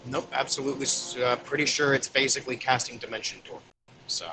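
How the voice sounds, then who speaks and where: A man's voice: mid-range voice, steady tone, quick pace, poor audio. A man playing a tabletop roleplaying game, casually talking through the rules. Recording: prominent music behind the voice. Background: background music.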